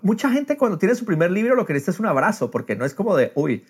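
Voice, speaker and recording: A man with a fairly high, husky voice talks casually as if on a podcast. His pitch swings widely and lively, and he slips English phrases into his sentences. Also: racing. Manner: drops English phrases into Spanish. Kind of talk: casual conversational podcast talk.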